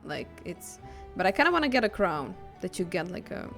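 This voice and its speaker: Woman game streamer, lowish voice, offhand muttered asides, casual commentary, faint game music behind. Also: big pitch swings, a little fast, vocal fry, a bit bright. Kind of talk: casual live gaming commentary.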